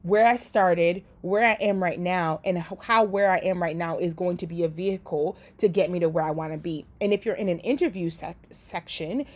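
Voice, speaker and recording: A woman from Liberia giving casual career coaching, talking conversationally with frequent "right?" and quick restarts. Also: lowish voice. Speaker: career coach and company founder. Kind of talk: casual coaching talk to an audience.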